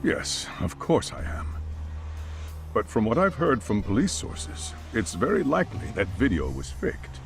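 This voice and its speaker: A man's voice: fairly low pitch, huge pitch swings, unhurried, gravelly, soft background music, heavily acted. An actor playing a wary, proper chairman in an English game dub, hesitant, cautious and defensive. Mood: reluctant. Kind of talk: dramatic game cutscene dialogue.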